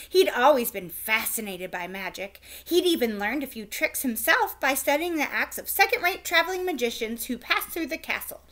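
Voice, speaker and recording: A woman teacher reading a chapter book aloud to children with expressive, animated storytelling. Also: high-pitched.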